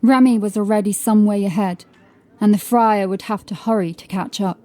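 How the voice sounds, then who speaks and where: A woman narrating scripted horror fiction as a dramatic storyteller, with a mellow voice. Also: mid-range voice, steady tone, normal speed.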